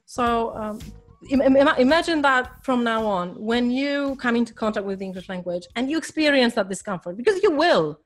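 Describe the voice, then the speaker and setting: A woman's voice: a little high, expressive, fairly quick, faint music behind. A woman with a Polish accent, a language coach giving a hesitant motivational talk with many ums and restarts to her course members. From Poland.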